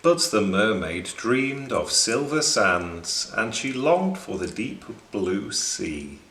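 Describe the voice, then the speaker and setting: A man reading a children's story aloud in an expressive narrating style. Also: fairly low pitch, slow pace, a little hiss or hum. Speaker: story reader. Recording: echoing room.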